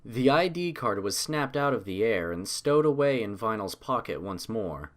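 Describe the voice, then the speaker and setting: Man narrating a story, very expressive. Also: fairly low pitch, moderate pace.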